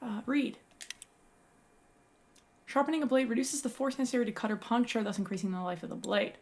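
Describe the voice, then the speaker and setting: A young woman with a raspy voice, excitedly commenting while playing a video game. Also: on the high side, a little fast, a little hiss or hum. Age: young adult. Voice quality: raspy from yelling. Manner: excitable, exclaiming at finds. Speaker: gaming YouTuber. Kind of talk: let's play commentary.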